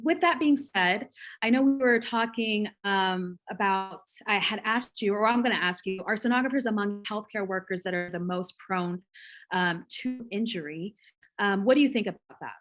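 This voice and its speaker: A woman's voice: mid-range voice, normal speed, fairly bright tone. A young woman hosting an interview over a video call, chatty and affirming, with many fillers. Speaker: host, cardiac sonographer. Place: home room, indoors.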